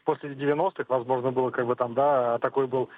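Over a dry, narrow phone line comes a man in his forties calling a radio show. He speaks fast and casually, giving an unscripted opinion. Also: mid-range voice, level tone, no room sound. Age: 40s.

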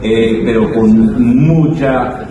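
An older man giving an unscripted political speech as a delegate, halting and deliberate in his phrasing. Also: mid-range voice. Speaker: conference delegate. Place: large conference hall. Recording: very echoey, distant, noisy hall.

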